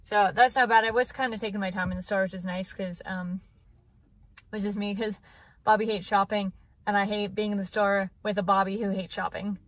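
A woman's voice: mid-range voice, flat delivery, a little fast, rough recording. A woman in her thirties chatting casually to a phone camera from her parked car, rambling with lots of fillers. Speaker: vlogger. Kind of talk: casual vlog chat. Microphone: phone camera at arm's length.

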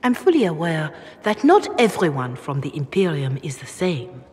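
A woman's voice: low voice, very animated, moderate pace, a little mellow. An actress playing a solemn, formal revered mother in a fantasy game, deferential yet guarded. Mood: disapproving. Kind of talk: video game cutscene dialogue. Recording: long reverb, noisy ambience behind.

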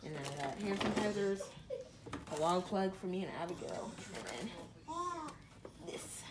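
Young woman, casual, animated voice, busy background voices, home recording. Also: fairly low pitch, moderate pace, crowd noise. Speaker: daughter showing purchases. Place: home bedroom.

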